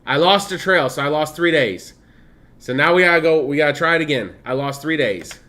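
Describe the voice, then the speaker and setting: A man's voice: fairly high pitch, brisk, bright, forceful tone. Chatty man with a blended American accent. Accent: blended Midwestern, Southern and Californian. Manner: chatty, laughs often, reads game text aloud. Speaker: streamer. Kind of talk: casual gameplay stream commentary.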